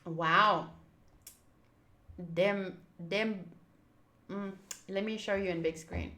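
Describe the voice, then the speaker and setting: Bright-voiced woman reading a game aloud. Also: mid-range voice, fairly even intonation, drawn-out words, roomy sound. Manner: reacts aloud, shifting between reading and chatty asides. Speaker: streamer playing and reading aloud a visual novel. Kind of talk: playthrough commentary, reading on-screen text aloud.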